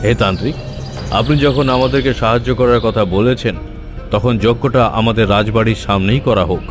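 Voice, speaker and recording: A man's voice: medium pitch, steady tone, a little fast, lightly acted. Man as a protective king, music behind. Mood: cautious, concerned. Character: protective, cautious king and father, commanding. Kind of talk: cartoon fairy tale voice acting.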